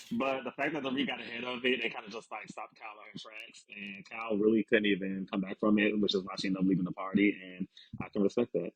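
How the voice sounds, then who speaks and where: A man with a low, husky voice co-hosts a relaxed podcast conversation. His voice sounds slightly raspy, and he keeps an easygoing tone, laughing often. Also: fairly quick, lo-fi sound. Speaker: podcast co-host. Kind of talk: casual chat.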